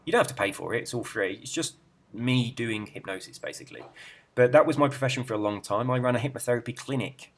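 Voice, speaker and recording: A middle-aged man chatting casually, rambling and easily sidetracked as he browses a website, with a soft, relaxed way of speaking. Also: mid-range voice, fast. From England. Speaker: YouTuber and hypnotist.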